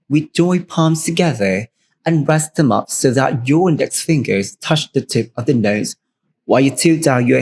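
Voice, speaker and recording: A man's voice: mid-range voice, gravelly, a little mellow, husky. A man from Thailand, a native Thai speaker co-hosting a podcast, explaining customs step by step in English with a Thai accent. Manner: plain, step-by-step explaining in second-language English. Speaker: podcast co-host. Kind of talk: explanatory cultural talk.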